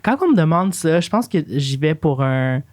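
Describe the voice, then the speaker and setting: A woman's voice: sits low, lively intonation, normal speed, darkish tone. Young Montreal-area woman chatting, Quebec accent. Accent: Quebec French. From Montreal area, Quebec, of Chilean parents. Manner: slangy, mixes English phrases into French. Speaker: podcast co-host. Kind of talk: casual conversational podcast chat.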